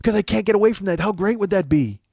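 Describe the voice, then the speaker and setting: A man hosting a podcast, riffing in a profane, excitable comedic monologue into a studio microphone. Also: high voice, quick pace, creaky. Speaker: podcast host.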